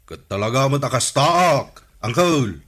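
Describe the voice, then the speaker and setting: A man's voice: medium pitch, animated, measured pace, a bit bright. A man with an Ilocano accent playing a cheerful young villager in a comedy radio drama, who laughs after nearly every remark. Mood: giggly, cheerful.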